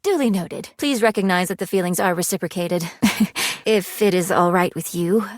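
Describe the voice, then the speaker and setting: A woman's voice: fairly low pitch, moderate pace, dry recording, studio quality, close to an everyday voice. A woman voicing a prim, anxious, money-minded video game character who speaks in formal, precise, businesslike phrases. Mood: earnest, shyly fond. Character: prim, anxious, money-minded female personified savings living in a safe.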